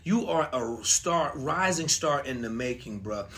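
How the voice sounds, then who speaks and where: A man's voice: mid-range voice, expressive, measured pace, fairly bright tone. A man hosting a casual interview, effusive and admiring, often repeating words and peppering his talk with "man". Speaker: host interviewing a musician.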